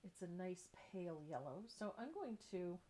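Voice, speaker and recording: Female craft instructor, middle-aged, slightly low voice, informal and chatty livestream delivery, faint background noise. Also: animated, moderate pace, dark tone. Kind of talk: casual live craft tutorial. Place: indoor craft table.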